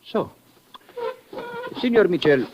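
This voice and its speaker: An actor playing a courteous, watchful police lieutenant with an Iberian accent, formal and measured, in a 1950s radio drama style. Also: male voice, sits high, lively intonation, noisy background. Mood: formal, suspicious. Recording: dull old radio recording.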